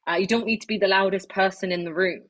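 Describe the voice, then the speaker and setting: A woman's voice: medium pitch, fairly even intonation, quick pace. Chatty woman giving a webinar talk. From not Irish, living in Ireland. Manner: chatty, many fillers and restarts. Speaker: webinar speaker. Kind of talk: informal presentation on networking. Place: online webinar, video call.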